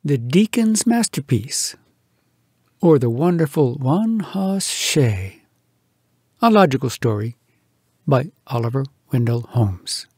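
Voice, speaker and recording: A man reading humorous verse aloud as a storyteller, in an animated, theatrical, folksy manner with drawn-out words and sudden emphasis. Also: medium pitch, slightly rough, dry recording, studio quality. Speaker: storyteller, audiobook narrator.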